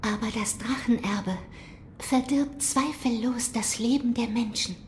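An actress playing a gentle, mystical shrine maiden in an audio drama, speaking in a solemn, measured, hushed manner. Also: female voice, a little high, slight background noise, close to an everyday voice.

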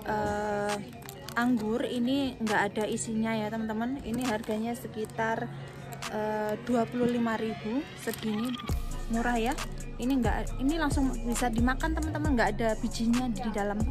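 High-voiced woman vlogger. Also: fairly even intonation, normal speed, over music. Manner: chatty, addressing viewers as friends. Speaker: family vlogger. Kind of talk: casual shopping vlog chat. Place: outdoors by roads and car parks, then inside a supermarket. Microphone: handheld phone or camera at arm's length.

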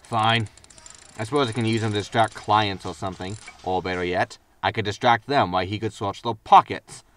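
Man playing a low-voiced sardonic spaceship captain. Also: steady tone, fairly quick, a bit bright, recorded from a distance, a little hiss or hum, close to an everyday voice. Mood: resigned, dry. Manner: dry, sardonic. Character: grumpy, cash-strapped, sarcastic male spaceship captain. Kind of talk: sci-fi sitcom audio drama acting.

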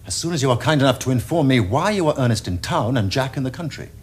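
An actor playing a witty, teasing young gentleman with an upper-class English accent, in a theatrical period comedy. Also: mid-range voice, animated, quick pace, natural voice. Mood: amused, probing. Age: young adult. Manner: theatrical, epigrammatic, crisp upper-class phrasing. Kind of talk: period stage comedy acting. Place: furnished studio drawing-room set.

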